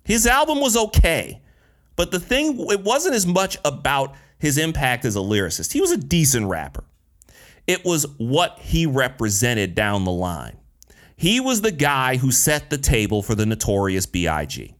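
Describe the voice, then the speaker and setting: A man's voice: medium pitch, very animated, normal speed, fairly bright tone. A man in his thirties from Detroit, hosting a solo podcast in a casual, candid way, with slang and cursing. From Detroit, USA. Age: 30s. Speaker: podcast host.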